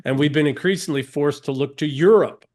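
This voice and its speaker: A man's voice: a little high, a little fast, a little mellow. Emphatic man lecturing as a podcast guest. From United States. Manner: emphatic, asks rhetorical questions, restarts sentences.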